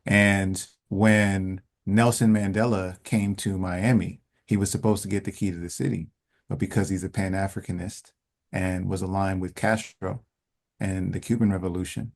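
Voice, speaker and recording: A man hosting a call, telling a story in a relaxed way before reading out questions from the chat. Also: deep voice, moderate pace. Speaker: host.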